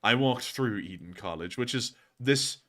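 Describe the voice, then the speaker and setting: Male livestream host, affected upper-class British accent, crisp forward tone, mid-range pitch, formal and long-winded delivery. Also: expressive, normal speed, sharp tone. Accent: put-on posh British. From Alabama, United States. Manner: pompous mock-posh, wordy and formal. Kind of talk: live stream commentary, reading and answering viewer messages.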